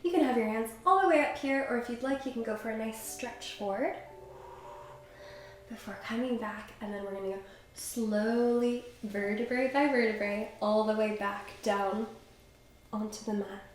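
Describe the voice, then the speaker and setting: A young woman with a slight cold, calmly and encouragingly guiding a Pilates workout while she does the moves herself. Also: a little high, moderate pace. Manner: calm, encouraging, talks while exercising. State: has a cold, slightly stuffy. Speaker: pilates instructor. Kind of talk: guided workout instruction. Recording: very echoey room, faint background noise.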